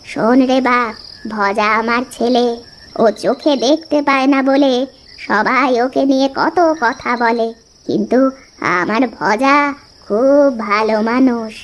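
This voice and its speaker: A woman playing a gentle, wise mother in a children's cartoon, speaking to grown sons in a measured, coaxing way. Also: a little high, slight background noise. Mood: tender, earnest.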